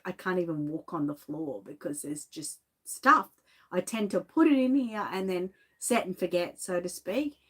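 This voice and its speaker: Chatty Australian woman with a cough. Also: on the low side. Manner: chatty, greets viewers by name. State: lingering cough. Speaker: reborn doll artist and shop owner. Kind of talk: casual livestream chat. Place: home studio room.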